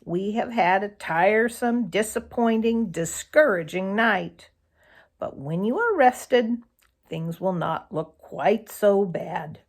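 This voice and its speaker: A woman voicing an aging granny fox in a children's storybook, sharp but kindly, with a folksy, old-fashioned way of talking. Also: medium pitch, slow pace. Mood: kindly, encouraging. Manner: gently scolding, folksy, old-fashioned phrasing.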